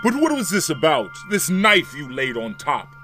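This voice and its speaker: An actor playing a pompous, easily flattered ruler in an audio drama, with a theatrical, gushing manner. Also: male voice, on the high side, normal speed, music in the background. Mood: delighted, awed. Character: grandiose, easily flattered male ruler and employer.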